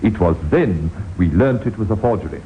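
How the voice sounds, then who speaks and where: A grave, deferential male official played by an actor in an old radio crime drama, formal and a little hesitant. His pitch swings widely through a narrow, telephone-like recording with faint noise. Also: animated, moderate pace, lightly acted. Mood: grave, concerned. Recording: thin, noisy vintage radio recording.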